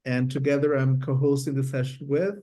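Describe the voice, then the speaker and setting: A man's voice: mid-range voice, flat delivery, fairly quick, mellow. A man from Peru with a Peruvian Spanish accent, co-hosting an online workshop and inviting his partner to speak. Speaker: workshop co-host.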